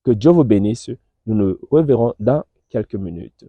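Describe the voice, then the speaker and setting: Dark-voiced man introducing a religious broadcast. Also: lowish voice, expressive, normal speed. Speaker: religious TV programme host. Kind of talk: preaching introduction to viewers.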